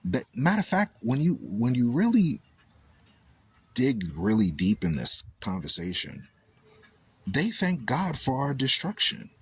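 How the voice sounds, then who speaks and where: Male talk host, husky tone, animated preacher-style delivery. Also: mid-range voice, measured pace. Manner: animated storytelling, repeats "right?" often. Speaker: radio show host.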